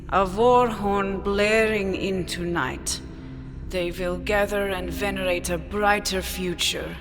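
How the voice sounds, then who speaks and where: A woman playing a regal, imperious queen of a desert city in a theatrical, grandiose audio drama. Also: lowish voice. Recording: long reverb, music behind.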